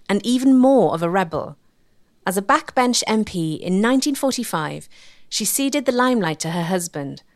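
A woman's voice: medium pitch, no room sound, clean studio sound. A woman delivering scripted documentary narration as the narrator.

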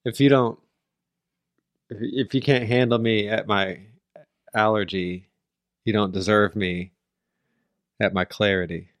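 A man's voice: on the low side, steady tone, a little slow, long pauses, no echo. Male podcast co-host, dry wry teaser, close dry sound. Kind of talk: casual chat.

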